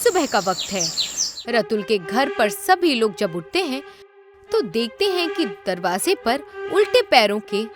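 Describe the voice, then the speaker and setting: A woman narrating a scripted animated horror story. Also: on the high side, unhurried, music in the background.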